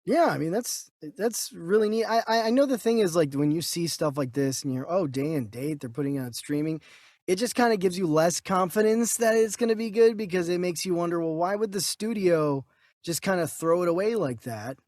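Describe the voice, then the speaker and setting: A woman's voice: fairly low pitch, lively intonation, a little fast, a little mellow, no echo, clean studio sound. A woman co-hosting a movie news podcast, chatting informally and thinking aloud as she goes. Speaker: podcast co-host and voice actor. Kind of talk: casual movie news discussion.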